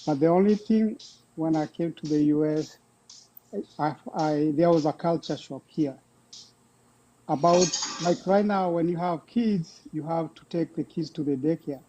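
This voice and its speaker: A middle-aged man with a Kenyan accent, talking casually and haltingly about himself as a guest caller. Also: on the high side, dark tone, highs cut off, a little hiss or hum. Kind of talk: casual personal talk.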